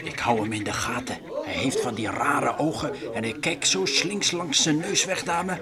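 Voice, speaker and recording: An actor playing a proud, stubborn, grumbling army lieutenant in an old children's radio play, blunt and indignant. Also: male voice, mid-range voice, normal speed, a loud crowd, close to an everyday voice. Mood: suspicious.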